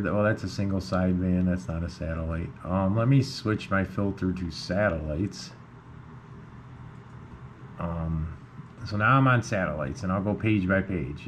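A man giving a casual screen tutorial, informal and a bit hesitant as he thinks aloud. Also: deep voice. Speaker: amateur radio video host. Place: indoors at a computer. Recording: clearly noisy room recording.

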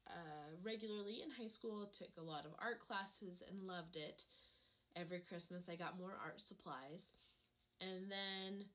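A woman in her thirties hosting an art channel, chatting reflectively in a voice-over, her voice hoarse from a cold. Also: mid-range voice, a bit bright. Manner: reflective, chatty, many ums. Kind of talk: casual voice-over chat over a painting.

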